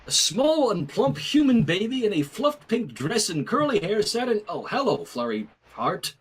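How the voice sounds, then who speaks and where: A man's voice: high voice, big pitch swings, normal speed, slight background noise. A man hosting a fan fiction reading, playful, narrating the story and breaking off for chatty asides. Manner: playful, self-interrupting. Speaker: host, fan fiction reader.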